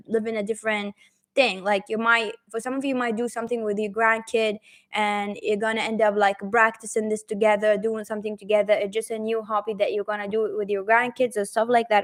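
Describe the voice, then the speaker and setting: Woman, tarot reader, slightly high voice, rambling, many fillers and restarts, reassuring, direct. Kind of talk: pick-a-card tarot reading to the viewer.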